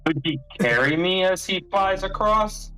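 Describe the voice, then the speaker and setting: Male tabletop player, slightly high voice, slow casual delivery, music clearly behind. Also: expressive, bright, forceful tone, rough recording. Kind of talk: casual chat among players.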